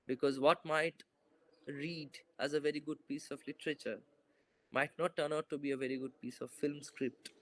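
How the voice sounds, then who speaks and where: A young man, a filmmaker, answering interview questions over a video call in a thoughtful, hesitant way with many fillers and restarts. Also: mid-range voice. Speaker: filmmaker guest. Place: bright indoor room. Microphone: video call, laptop camera.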